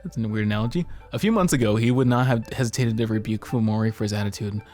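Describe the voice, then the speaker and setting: A man's voice: lowish voice, fast talker, darkish tone. Man reading a visual novel, jokey. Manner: jokey, giggly, stumbles over read-out text. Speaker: streamer narrating a game. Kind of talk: casual let's play, reading visual novel text aloud. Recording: background game music.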